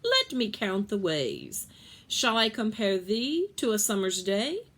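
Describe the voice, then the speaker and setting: A woman's voice: mid-range voice, wildly swooping pitch, takes it slowly, a bit bright, exaggerated voice. A woman voicing a storybook pet that spouts poetry in a lilting, theatrical recitation. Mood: dreamy reciting.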